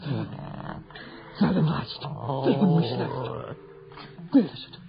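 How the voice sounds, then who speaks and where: Anguished, slow-spoken husband in noisy radio horror. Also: male voice, mid-range voice, expressive, gravelly. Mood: panicked. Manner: theatrical, earnest, anguished. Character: fearful, protective grieving husband and father, dread-filled. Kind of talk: old-time radio horror drama acting. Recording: muffled, noisy old radio recording.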